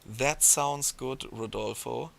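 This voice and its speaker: Non-native male stream host, slow and halting, gravelly tone, game music behind. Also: mid-range voice. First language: not English. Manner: hesitant, many fillers, reads out viewer comments. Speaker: stream host reading chat. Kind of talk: casual livestream chat.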